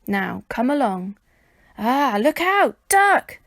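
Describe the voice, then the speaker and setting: A woman voicing a brisk, bossy, teasing circus man in a children's storybook. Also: sits high, big pitch swings, a bit bright, no room sound, clean studio sound, full character voice.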